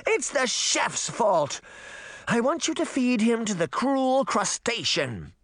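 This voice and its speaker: A spoiled, greedy mermaid princess out of a storybook. The voice is pitched way up and whiny, with big swings in tone. She drags out her demands like an imperious, tantrum-prone royal. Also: big pitch swings, slow pace, fairly bright tone, no echo, studio quality, heavily acted. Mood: furious.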